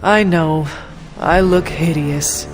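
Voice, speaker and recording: An actress playing an earnest, resolute young warrior heroine in a video game cutscene, speaking formally in measured phrases. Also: female voice, lowish voice. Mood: dry, earnest. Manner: formal, measured, few contractions. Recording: clear music behind voice.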